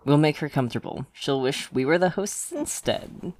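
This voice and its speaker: A woman's voice: deep voice, lively intonation, normal speed, a little mellow. A woman playing a cheeky, boastful young friend who teases cockily in an audio drama. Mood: teasing. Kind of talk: naturalistic audio drama acting.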